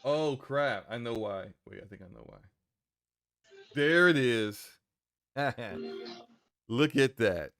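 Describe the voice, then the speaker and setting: A man with a deep voice chatting casually on a live game stream, flustered as he talks himself through tech trouble. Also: lively intonation, slow, pauses often, a bit bright, no echo, studio quality, faint music behind.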